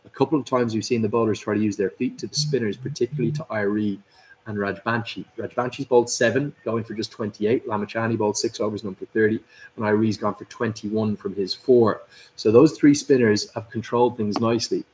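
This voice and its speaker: A man's voice: lowish voice, steady tone, brisk, darkish tone, low-quality recording. A man hosting a live cricket watchalong, commentating and chatting through viewer comments. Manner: chatty, upbeat, reads out viewers' names and greetings. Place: at a cricket club.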